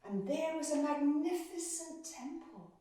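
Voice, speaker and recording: A woman telling a myth to children, with deliberate, repeated phrases and dramatic pauses. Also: sits high, expressive, warm tone. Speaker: storyteller. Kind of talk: oral myth storytelling for children. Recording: very echoey room, distant microphone.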